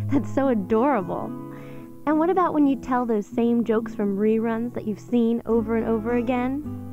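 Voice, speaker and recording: A woman acts out a doting girlfriend, sweet and sincere in a radio spot. Her voice sits fairly high. Music plays behind her in a slightly muffled recording. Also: close to an everyday voice. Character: adoring girlfriend sweetly praising her slobbish boyfriend. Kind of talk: radio public service ad acting.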